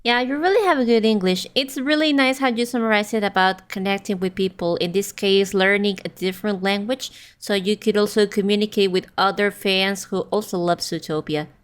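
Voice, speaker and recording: A woman co-hosts a podcast, chatting casually and hesitating often with fillers as she hunts for the right word. Her voice is smooth and clear, at a middle pitch. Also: steady tone. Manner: halting, with many fillers. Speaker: podcast co-host.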